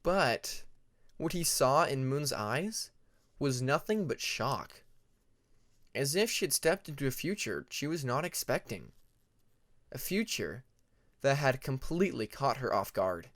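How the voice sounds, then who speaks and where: A man reads scripted fantasy fiction as a narrator. His voice sits at a middle pitch and moves expressively up and down. The recording is dry, with little room sound. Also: big pitch swings, unhurried, long pauses, fairly bright tone, no room sound. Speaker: audiobook narrator of fan fiction.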